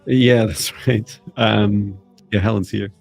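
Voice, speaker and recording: A man's voice: fairly low pitch, fairly even intonation, slow pace, creaky, soft background music. A middle-aged man hosting a live stream in casual, jokey, chatty conversation.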